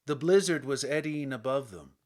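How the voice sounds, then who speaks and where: A man reading literary fiction as an audiobook narrator, with a measured, dramatic storytelling delivery. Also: mid-range voice, lively intonation. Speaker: audiobook reader.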